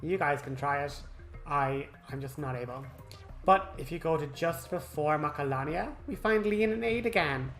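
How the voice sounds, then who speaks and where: Woman, low voice, gaming video host, casual walkthrough commentary, informal, direct to viewers, soft game music. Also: normal speed.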